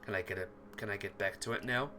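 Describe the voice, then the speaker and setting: A man's voice: fairly low pitch, steady tone, fast, sharp tone, faint music behind, pitched below the performer's own voice. A man voicing a cold, withdrawn teenage schoolboy from a visual novel, indifferent and defeated in his dismissive delivery.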